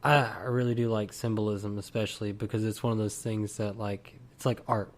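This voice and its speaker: A man's voice: on the low side, level tone, brisk. A man hosting a podcast, chatting casually and loosely with his guest, with lots of "like" and "you know". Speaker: podcast host. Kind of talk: casual interview.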